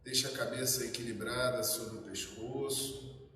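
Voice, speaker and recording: A man with a Brazilian Portuguese accent gives calm guided meditation instructions in short, measured phrases. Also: mid-range voice, a little hiss or hum. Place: reverberant indoor room. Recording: very echoey room, distant mic.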